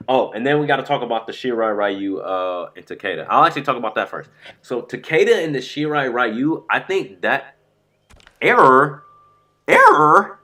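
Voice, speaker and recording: A man streaming live about a fighting game, rambling and excitable, sounding stuffy from a sore throat. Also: medium pitch, moderate pace. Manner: excitable, rambling, says "bro" a lot. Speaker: gaming streamer.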